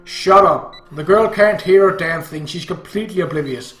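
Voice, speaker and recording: A man voicing a harsh, bitter, worn-out father in an audiobook, gruff and blunt. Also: a little high, moderate pace, fairly bright tone, echoey room, natural voice. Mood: angry. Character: harsh, bitter, exhausted father of a comatose girl.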